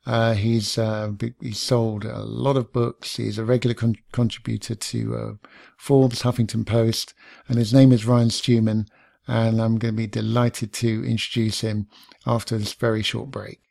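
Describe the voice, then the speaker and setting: A British man hosting a podcast, relaxed and unhurried, with a smooth radio voice that has a gravelly, fry-tinged edge. He pauses often on "uh". The sound is dry and close, with no room echo. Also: fairly low pitch. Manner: laid-back, smooth, many "uh" fillers. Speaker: podcast host. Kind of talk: interview podcast.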